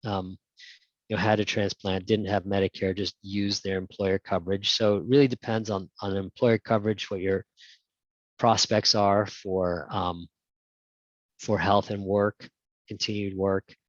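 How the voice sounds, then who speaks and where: A man's voice: lowish voice, little pitch movement, unhurried, no echo. A man answering questions in a casual webinar talk, hesitant with many ums and restarts, heard over a video call. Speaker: guest speaker on insurance. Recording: muffled lo-fi video call audio.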